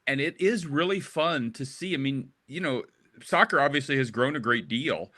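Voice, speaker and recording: A man's voice: mid-range voice, expressive, moderate pace, bright, forceful tone. An American man talking casually as an interview guest. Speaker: interview guest, documentary director.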